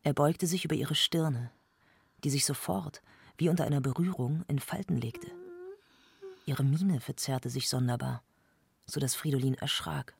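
An actor playing a brooding narrator in a literary radio drama, with a husky, creaky voice. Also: male voice, on the high side, moderate pace, full character voice. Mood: brooding, tense.

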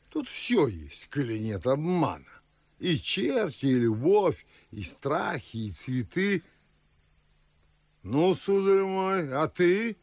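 A man's voice: medium pitch, darkish tone, no room sound, exaggerated voice. An actor playing a pompous, suspicious middle-aged father and master in a classic verse radio drama, grumbling and scolding theatrically.

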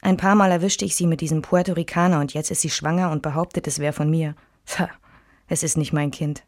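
A woman's voice: deep voice, fairly even intonation, fairly quick. An actress playing a reflective, restrained woman recalling her married life in a scripted radio drama.

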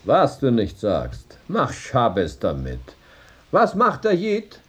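Wildly swooping sarcastic heckler. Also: male voice, mid-range voice, huge pitch swings, takes it slowly, slightly rough, full character voice. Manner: sarcastic, interjecting. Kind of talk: radio drama acting.

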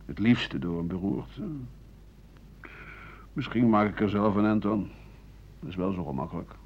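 A man playing a weary, embittered writer of 67 in a radio play, grumbling in halting phrases that trail into breathy murmurs. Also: on the low side, expressive. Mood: resigned. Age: older. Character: embittered, weary 67-year-old French writer and doctor, pessimistic. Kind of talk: radio play monologue as interview answers. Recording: dull, hissy 1980 radio recording.

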